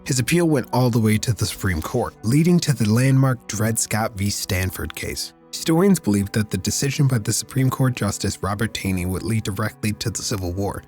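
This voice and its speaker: A man hosting a podcast, reading history segments and then chatting casually, his voice raspy and a little squeaky from illness. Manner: steady factual reading. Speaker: podcast host.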